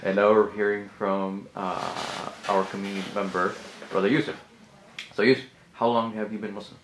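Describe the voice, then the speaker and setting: A man's voice: fairly low pitch, level tone, moderate pace. A man interviewing a guest, encouraging him with frequent murmurs and follow-up questions. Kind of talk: casual interview. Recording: echoey room, faint hiss.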